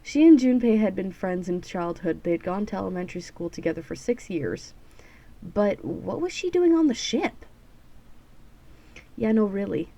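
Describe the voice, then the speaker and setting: A woman's voice: medium pitch, warm tone. A woman hosting a let's play, reading a visual novel aloud with sarcastic, giggly asides and free swearing. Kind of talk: casual, joking read-through with asides.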